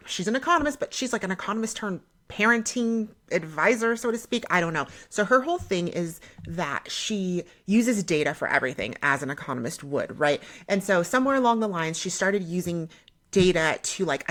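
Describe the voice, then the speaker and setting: A woman's voice: lowish voice, a bit bright. A young American woman hosting a podcast in casual conversation, chatty and explanatory, often checking in with the listener. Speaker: podcast host.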